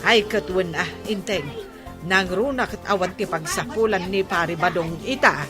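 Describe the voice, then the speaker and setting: A woman's voice: lowish voice, normal speed, close to an everyday voice. An actress playing a chatty, gossipy village neighbour woman in an Ilocano comedy radio drama. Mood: admiring. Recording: music bed under the voice.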